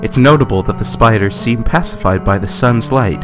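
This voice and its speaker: A man giving a theatrical, deliberate dramatic reading of a fantasy guidebook. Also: on the low side. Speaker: let's play gamer. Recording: guitar music behind voice. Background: guitar music.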